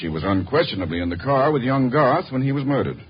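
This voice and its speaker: An actor playing an anxious, educated doctor in a 1950s radio drama, speaking formally and with some hesitation. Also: male voice, mid-range voice, big pitch swings, quick pace, slightly rough. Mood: uneasy, insistent. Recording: muffled old radio broadcast.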